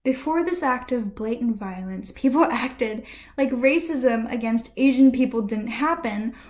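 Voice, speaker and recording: A woman vlogger speaking to camera from a room at home, giving impassioned personal commentary in a ranty, earnest way that dips into soft, breathy asides. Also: fairly high pitch, lively intonation, measured pace. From United States.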